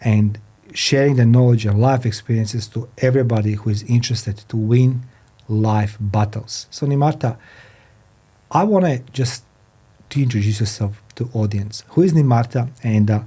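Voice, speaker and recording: A man who is a non-native English speaker hosts a podcast interview, speaking haltingly and often repeating his phrases. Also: medium pitch, mellow, breathy edge. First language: not English. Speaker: podcast host. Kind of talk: podcast interview, introducing and questioning a guest. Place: studio.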